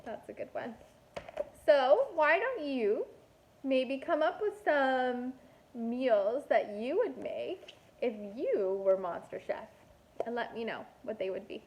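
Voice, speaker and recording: A woman reading a picture book aloud to young children like a kindergarten teacher, expressive and deliberate, with playful asides. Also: high-pitched, a little hiss or hum, poor audio.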